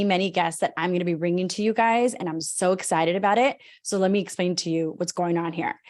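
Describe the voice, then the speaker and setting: An eager woman hosts a podcast, her voice sitting a little on the low side. She strings her thoughts together in long, excited run-on sentences as she introduces her guest. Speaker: podcast host. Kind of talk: podcast interview, enthusiastic intro.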